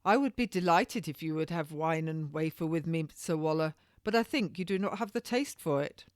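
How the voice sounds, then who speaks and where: A woman voicing a defiant, quick-witted woman under questioning in a historical novel, with formal, indignant, archaic speech. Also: sits low, animated, no room sound, studio quality. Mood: wryly defiant.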